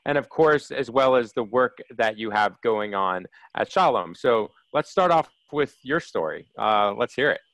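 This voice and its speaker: A man's voice: mid-range voice, expressive, a little slow, hardly any pauses, a bit bright, no room sound. A man hosting an interview, upbeat and eager, stumbling slightly over his words as he welcomes and prompts his guest. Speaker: podcast host. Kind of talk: interview host introducing guest.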